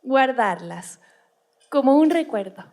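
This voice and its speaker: A woman's voice: sits high, lively intonation, slow, creaky, darkish tone, heavily acted. A Chilean actress playing a feisty, teasing young schoolgirl sister in a theatrical, exclamatory dramatized reading. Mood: tender. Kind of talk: dramatized stage reading, radio play.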